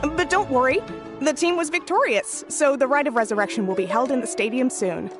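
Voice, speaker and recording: A woman's voice: sits high, a little fast, music in the background, natural voice. A woman playing an earnest, respectful young tribe messenger in a video game. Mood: earnest, reassuring. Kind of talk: video game character acting.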